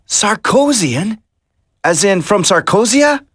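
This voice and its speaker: An actor playing an earnest, loyal young commando hero in a dramatic video game, with emphatic delivery. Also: male voice, sits high, very animated, takes it slowly, fairly bright tone, breathy edge, dry recording, studio quality, exaggerated voice. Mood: pained, incredulous.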